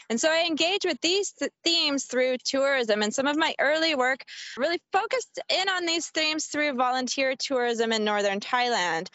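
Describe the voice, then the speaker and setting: A woman professor co-hosting a podcast, introducing herself in a measured, articulate way. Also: sits high, bright, forceful tone, no room sound, lo-fi sound. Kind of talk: casual self-introduction.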